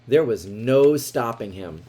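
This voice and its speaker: A man reading a children's picture book aloud in an expressive way, with dramatic emphasis. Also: mid-range voice, takes it slowly, husky. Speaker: storybook reader.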